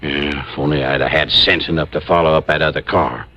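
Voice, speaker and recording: An actor playing a grumbling, insistent small-town police chief in an old radio drama. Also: male voice, extremely low pitch, fairly even intonation, fairly quick, sharp tone, exaggerated voice. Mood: folksy, earnest. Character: folksy, grudge-bearing small-town male police chief. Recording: dull, hissy vintage radio recording.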